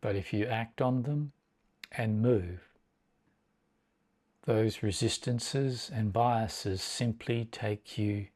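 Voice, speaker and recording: A man with a fairly low voice teaches guided meditation. He speaks very slowly and deliberately. Short phrases are set apart by long, measured pauses. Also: darkish tone, breathy edge.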